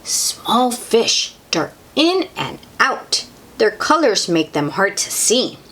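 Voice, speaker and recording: Woman for children's read-aloud: very slow delivery, lively swooping intonation, simple questions to the young listener. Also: mid-range voice, slight background noise. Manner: child-directed, asks the listener questions, exclaims in wonder. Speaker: host reading picture books aloud to children.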